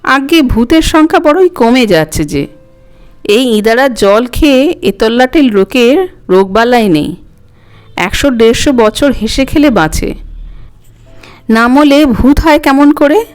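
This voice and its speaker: A woman's voice: fairly high pitch, lively intonation, measured pace, pauses often, darkish tone, faint music behind. A woman voicing a plaintive, argumentative soggy ghost in a storybook reading, pleading and justifying itself. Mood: complaining.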